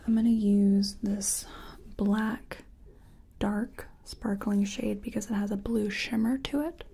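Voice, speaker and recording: A woman playing a nervous makeup artist in an ASMR roleplay, speaking in a breathy whisper and murmuring hesitantly to herself as she works. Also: medium pitch, fairly even intonation, slow, warm tone, exaggerated voice. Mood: focused, unsure. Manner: murmured, hesitant self-commentary while working. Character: nervous female makeup artist competing in a contest. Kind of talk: soft-spoken ASMR personal attention roleplay.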